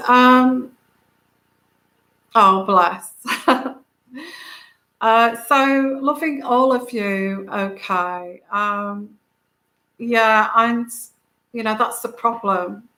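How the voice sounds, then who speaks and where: A woman with a soft, soothing voice, hosting a casual tarot livestream, rambling with many fillers and greeting viewers by name. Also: fairly high pitch, echoey room. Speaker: tarot reader and channel host.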